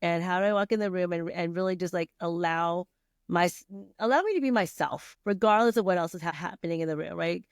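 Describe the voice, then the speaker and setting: A woman's voice: on the low side, fairly quick, no room sound, clean studio sound. Chatty middle-aged woman, lots of "like". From Vietnam. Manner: chatty, many fillers and restarts, laughs often. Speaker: podcast guest. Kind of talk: casual conversational interview.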